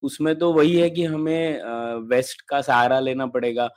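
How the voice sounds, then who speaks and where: A man's voice: medium pitch, flat delivery, unhurried, clear, resonant tone. A man with a sore throat co-hosting a podcast, giving conversational analysis and reasoning step by step. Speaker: podcast co-host.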